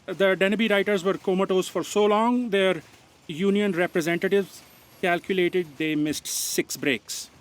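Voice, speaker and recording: Woman playing dutiful female assistant, middle pitch, hesitant, deferential, cautious, comic audio drama. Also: steady tone, a little slow, close to an everyday voice. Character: cautious, dutiful office aide correcting the boss.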